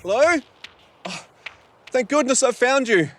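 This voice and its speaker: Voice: mid-range voice, animated, measured pace, close to an everyday voice. An actor playing a lost, shivering hiker seeking help in an audio drama. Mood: relieved, pleading.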